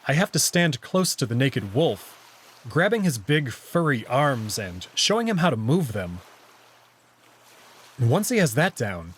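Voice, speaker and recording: A man's voice: a little high, husky. A man reading scripted first-person story narration from a visual novel, with acted dialogue. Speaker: narrator and voice reader.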